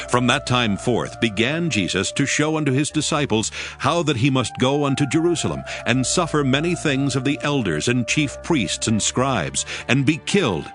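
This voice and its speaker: A man narrating dramatized scripture in a measured, formal storytelling manner. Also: medium pitch. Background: music.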